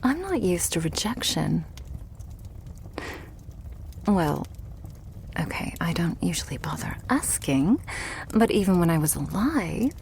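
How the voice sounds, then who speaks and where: ASMR roleplay casting: woman as devoted, stubborn vampire girlfriend, lowish soft breathy voice, unhurried intimate delivery, lightly teasing, creaky edges. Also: sing-song, a little hiss or hum, exaggerated voice. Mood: tender, reassuring. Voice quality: soft, breathy, often whispered. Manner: slow, tender, coaxing, lightly teasing. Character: loving, doting, stubborn female vampire girlfriend.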